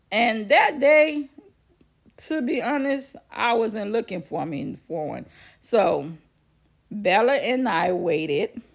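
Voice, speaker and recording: A woman's voice: on the high side, vocal fry. A woman telling a personal story casually to viewers, chatty and repeating phrases for emphasis. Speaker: vlogger.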